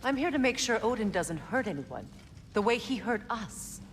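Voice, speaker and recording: A woman's voice: a little high, sing-song, moderate pace. A woman voicing a strong-willed middle-aged goddess in a video game, solemn, firm and wounded. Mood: grim resolve. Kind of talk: dramatic video game acting. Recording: clear background ambient noise.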